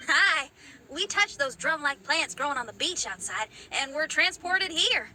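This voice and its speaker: A woman's voice: high-pitched, animated, a little slow, bright, forceful tone, a little hiss or hum, heavily acted, poor audio. A woman voicing an upbeat young rock musician with a rebellious spirit, who laughs easily. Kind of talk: video game dialogue.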